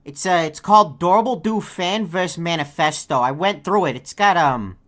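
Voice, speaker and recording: Woman doing a low snarky cartoon bird for a game, deadpan, lazy, greedy, always mocking. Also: moderate pace, lightly acted. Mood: dry sarcasm. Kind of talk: scripted video game character taunts.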